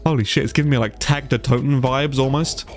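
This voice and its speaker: A man's voice: medium pitch, fairly even intonation, quick pace, soft background music. A man with a stuffy, congested nose giving casual, sweary let's play commentary and sounding easily amazed. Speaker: gaming YouTuber, player.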